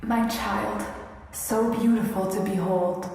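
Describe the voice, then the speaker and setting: A woman voicing a tender, otherworldly mother figure in a video game, gentle and measured, in an echoey, distant recording. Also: medium pitch, steady tone. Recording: very echoey hall, somewhat distant.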